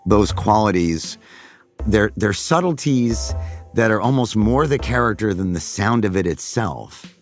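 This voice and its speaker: A man's voice: on the low side, lively intonation, unhurried, faint music behind. A middle-aged man, a voice actor, musing on his craft in a podcast monologue and slipping now and then into character voices.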